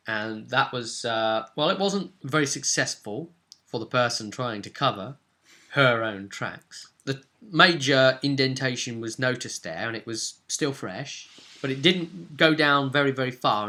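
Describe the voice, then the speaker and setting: Bright-voiced man, chatty game master. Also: mid-range voice, a little slow. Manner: chatty and rambling, seeks agreement with tag questions. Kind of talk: casual recap of a tabletop role-play session.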